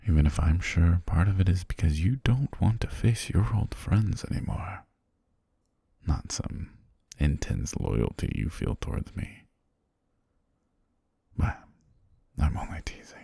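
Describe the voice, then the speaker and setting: Man acting as whispering, possessive, low-voiced master. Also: no room sound, exaggerated voice. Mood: softly teasing, then reassuring. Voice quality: breathy, half-whispered. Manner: slow, gentle, coaxing, softly commanding. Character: calm, possessive male governor soothing his hypnotized pet. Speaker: ASMR voice actor. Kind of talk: ASMR roleplay. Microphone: very close, dry microphone.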